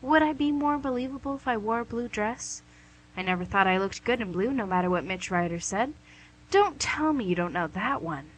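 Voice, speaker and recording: A woman's voice: on the high side, lively intonation, normal speed, husky. An actress playing the Devil as a playful, charming, smug female boss in a comic radio play, chatty and theatrical. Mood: playful, vain. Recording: noisy amateur recording with hum.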